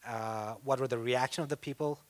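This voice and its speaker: A man in the audience at a live event, asking a question haltingly and restarting his words. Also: mid-range voice, steady tone.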